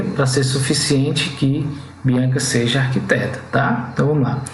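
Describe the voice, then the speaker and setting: A man's voice: mid-range voice, a little mellow, roomy sound, slight background noise, poor audio. A Brazilian man teaching an exam-prep logic lesson at a whiteboard, explaining step by step and often checking in with the students. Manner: didactic, frequent "tá?" and "né?" checks, slows on key words.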